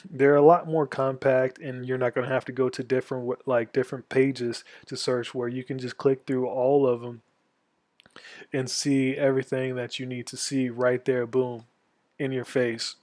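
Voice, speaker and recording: Halting young man with a stuffy cold. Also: mid-range voice, little pitch movement, a little mellow, no room sound. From Florida, USA. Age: 20s. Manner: halting, many ums and restarts. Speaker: army veteran giving a tutorial. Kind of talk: casual screen-share tutorial.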